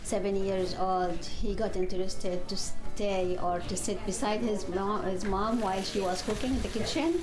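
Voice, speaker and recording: A woman interpreting interview answers, speaking haltingly with pauses and fillers. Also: medium pitch, steady tone, over music. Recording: echoey room.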